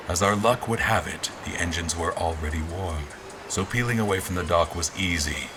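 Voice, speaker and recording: A man playing a guarded royal officer in disguise, recounting his memories in formal, deliberate audio drama narration. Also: low-pitched, noisy background, close to an everyday voice. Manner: formal, literary, deliberate phrasing. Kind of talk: scripted first-person audio drama narration. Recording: music behind the voice.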